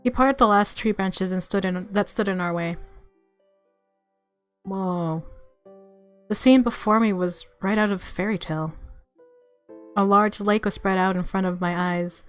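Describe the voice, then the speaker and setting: A woman with a mid-pitched voice reads a visual novel as a game streamer. She narrates expressively and drops into casual, chatty asides. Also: measured pace, long pauses, clean tone, soft background music.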